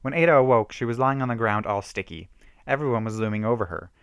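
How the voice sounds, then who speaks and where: A man's voice: mid-range voice, fast, a bit bright, smooth, no room sound, studio quality. A man giving an amateur dramatic reading of a horror story, with theatrical emphasis.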